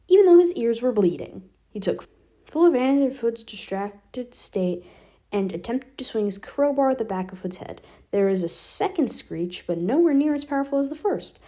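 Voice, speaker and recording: Mellow woman reading a story aloud. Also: mid-range voice. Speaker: podfic reader. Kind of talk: scripted story narration.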